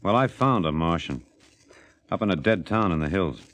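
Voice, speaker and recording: An actor playing an obsessed renegade astronaut, quiet, deliberate and intense, in 1950s radio drama style. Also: male voice, deep voice, expressive. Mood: brooding. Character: brooding, obsessed renegade American astronaut turned killer.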